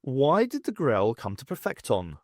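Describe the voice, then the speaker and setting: A man's voice: mid-range voice, wildly swooping pitch, moderate pace, darkish tone, no room sound, clean studio sound. A man voicing a bold, self-satisfied male academic in an audiobook, with a showy, declaiming manner. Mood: inquisitive.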